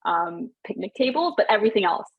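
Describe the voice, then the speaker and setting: Chatty thirties woman guest, husky, dull dry recording. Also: mid-range voice, very animated, a little fast, no echo. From Sri Lanka, raised in Canada. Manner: chatty, lots of "like" and restarts, laughs easily. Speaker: podcast guest, business founder. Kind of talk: casual interview chat.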